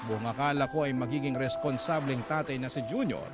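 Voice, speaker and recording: A man's voice: mid-range voice, a little fast, lightly acted. A man reading an aggrieved young mother's letter as radio drama narration, confiding and sardonic. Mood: confiding, resentful. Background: loud background music.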